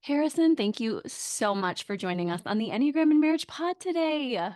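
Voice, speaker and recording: A woman hosting a podcast interview, her voice a little raspy, talking in a bubbly, effusive way and laughing often. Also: high voice, animated, normal speed, darkish tone. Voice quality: raspy today. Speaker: podcast host.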